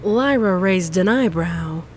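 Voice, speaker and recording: A woman's voice: fairly low pitch, expressive, takes it slowly, heavy background noise. A woman reading scripted story narration.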